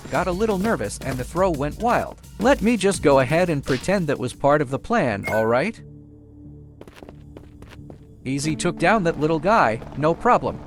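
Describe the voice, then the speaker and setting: A man with a fairly high, very animated voice narrates a video game walkthrough. He is chatty and informal, with a playfully boastful streak. Music plays behind his commentary.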